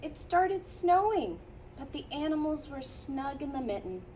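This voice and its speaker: A childcare teacher, a woman, reading a picture book aloud to children in a gentle storytelling manner with playful asides. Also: high voice, big pitch swings, unhurried, distant mic, a little hiss or hum.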